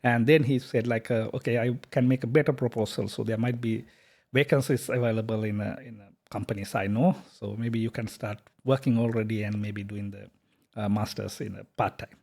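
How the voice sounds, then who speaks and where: A man, a software company founder talking casually in an interview, hesitant with many fillers and restarts. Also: on the low side, fairly even intonation, a little fast, vocal fry. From Sri Lanka. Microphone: podcast microphone.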